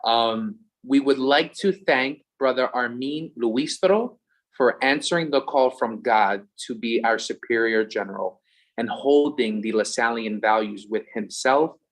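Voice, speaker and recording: A man's voice: mid-range voice, unhurried, rough recording. A man with a Philadelphia accent co-hosts an online event. He has a mid-range voice and moves between scripted thanks and casual asides. He drops in short Spanish phrases as he goes. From USA. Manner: warm, humble thanks sprinkled with Spanish phrases.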